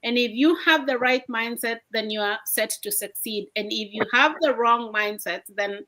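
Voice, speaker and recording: Woman host from Kenya, upbeat livestream presenter, bright and fairly high. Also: normal speed, rough recording. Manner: upbeat, welcoming, hands over between guests. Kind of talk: motivational livestream talk. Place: home, indoors at night.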